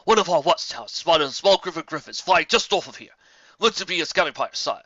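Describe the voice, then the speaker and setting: A man's voice: on the high side, lively intonation, fast, fairly bright tone, no room sound, dull audio, pitched above the performer's own voice. A man voicing a dutiful armored monster officer in a fantasy story, barking reports with military deference. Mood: eager, barking.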